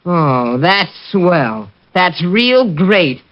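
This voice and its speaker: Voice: medium pitch, sing-song, extremely slow. A performer playing a young, streetwise American newsboy, earnest and slangy, in an old radio drama. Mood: disgusted. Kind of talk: 1940s-50s radio detective drama acting. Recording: dull vintage radio recording.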